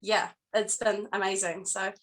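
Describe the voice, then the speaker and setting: A woman with a New Zealand accent talks about her art in a bright, clear voice. She is earnest and reflective, pausing often as she thinks. Her pitch sits in an ordinary middle range. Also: little pitch movement. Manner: earnest, reflective, halting with many pauses. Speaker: guest artist. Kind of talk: casual interview chat.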